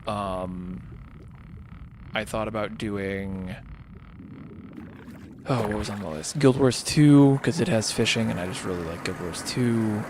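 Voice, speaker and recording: A tired man whose voice is starting to go hoarse, rambling casually and thinking aloud as he hosts a gaming livestream. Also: on the low side, unhurried, pauses often, background noise. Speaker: livestreamer hosting a charity gaming stream. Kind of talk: casual unscripted game-stream chat.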